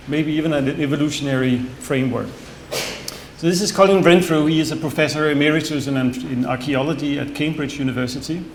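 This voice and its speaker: Man lecturing in an echoey hall. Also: on the high side. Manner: measured, thoughtful, with frequent fillers and self-corrections. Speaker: conference speaker, researcher. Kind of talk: academic conference talk. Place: conference hall with audience. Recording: echoey hall, faint background noise.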